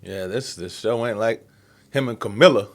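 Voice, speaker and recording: A man's voice: on the low side, normal speed. A man giving casual, slangy reaction commentary as the host of the video. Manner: colloquial, slangy.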